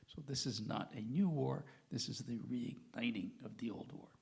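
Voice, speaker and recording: A man speaks up in a large conference hall and introduces himself as chair of a foundation. His voice has a gravelly edge, and he speaks firmly and emphatically. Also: medium pitch, normal speed, darkish tone. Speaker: foundation chair, audience participant. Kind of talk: self-introduction.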